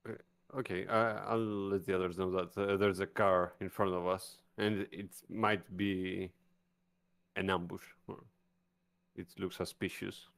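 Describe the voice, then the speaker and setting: A man's voice: low voice, flat delivery, measured pace, creaky, a bit bright. A man chatting casually as a player in a tabletop roleplaying game.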